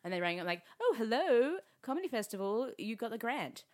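A woman's voice: fairly high pitch, big pitch swings, brisk. A woman comedian chatting casually on a podcast, laughing and acting out her own quoted thoughts. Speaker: comedian guest.

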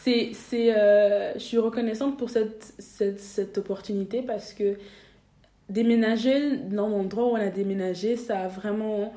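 A woman's voice: medium pitch, steady tone, a little slow, mellow, roomy sound. A young woman from Belgium, a podcast guest talking casually, hesitating with many fillers and restarts. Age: young adult. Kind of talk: casual interview.

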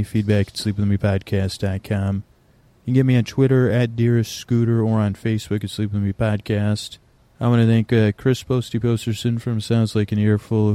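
A man hosting a sleep podcast, talking in a meandering, halting way full of restarts and fillers, recorded on location in a quieter corner of an airport. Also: on the low side. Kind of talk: rambling bedtime podcast talk.

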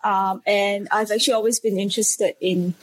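A woman's voice: medium pitch, fairly even intonation, normal speed, low-quality recording. A woman in her thirties from Singapore, a speech pathologist being interviewed, answering hesitantly with many fillers and restarts in a modest manner. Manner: modest, laughs shyly. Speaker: speech pathologist and clinic owner.

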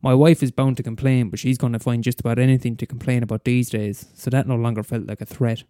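A man's voice: medium pitch, flat delivery, fast, dark tone, dry recording, studio quality, lightly acted. A man playing a bitter, weary middle-aged schoolteacher in a wry, wordy confessional story monologue. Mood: weary, sardonic. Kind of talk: first-person dramatic monologue.

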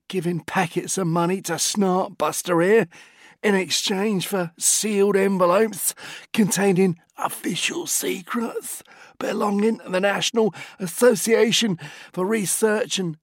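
Give me a man playing a blunt accuser in a storybook, slangy and a bit high. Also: no room sound, clean studio sound. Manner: drawled slang, ungrammatical. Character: blunt accusing man who calls everyone mate.

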